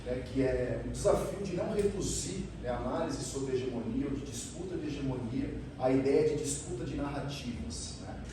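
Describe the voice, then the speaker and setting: A man with a Brazilian accent giving an academic presentation as a professor, explaining step by step and often tagging phrases with a checking question. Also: medium pitch. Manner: explanatory, often ends phrases with a rhetorical "né" tag. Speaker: university professor presenting research. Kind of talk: academic conference presentation, semi-improvised. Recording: echoey room, distant mic, clear background noise.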